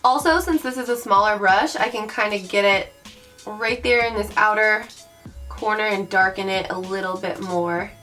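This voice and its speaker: A young woman with a raspy voice from recent illness, chatting bubbly through a makeup tutorial and calling her viewers guys. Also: mid-range voice, moderate pace. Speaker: beauty vlogger. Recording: mic at a distance, soft background music.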